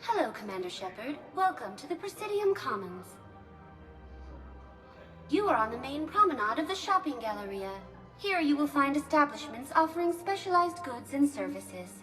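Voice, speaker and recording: A woman's voice: high-pitched, sing-song, moderate pace, pushed and bright, soft background music, full character voice. A woman voicing a polite, welcoming virtual guide in a video game, with a courteous announcer delivery. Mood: cheerfully helpful.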